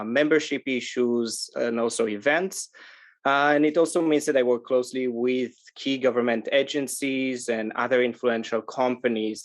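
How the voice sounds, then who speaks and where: Guy from israel chatting as podcast guest, medium pitch, pauses a lot mid sentence. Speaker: interview guest, sustainable tourism organization manager. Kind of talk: conversational podcast interview.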